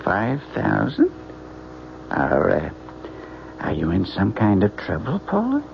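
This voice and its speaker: An actor playing a kindly, generous older family friend in an old radio drama, gentle, fatherly and courteous. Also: on the low side, wildly swooping pitch, takes it slowly, vocal fry, heavily acted. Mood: gentle, concerned. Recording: muffled old radio recording, faint noise.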